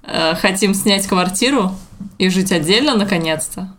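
A woman's voice: medium pitch, normal speed, slight background noise. A woman in her mid twenties co-hosting a podcast, talking casually among friends. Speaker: podcast co-host, illustrator. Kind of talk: casual group podcast chat.

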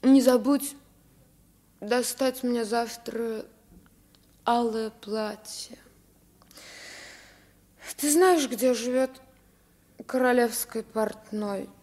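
A woman's voice: on the high side, drawn-out words, pauses often, darkish tone. A woman playing an imperious young royal boy who talks in his sleep, in a theatrical radio play. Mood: commanding.

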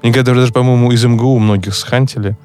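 A man's voice: medium pitch, fairly even intonation, rapid-fire, a little mellow, faint music behind. A man speaking Russian as a second language, co-hosting a casual podcast chat, joking and hesitant with many fillers and restarts. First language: not Russian. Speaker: podcast co-host, psychologist.

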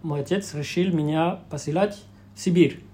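A young Belgian man whose first language is French, speaking Russian with a French-speaking Belgian accent, pausing often to search for words as an interview guest. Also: a little high, steady tone, warm tone. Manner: hesitant, searching for words with many fillers. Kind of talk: casual interview talk.

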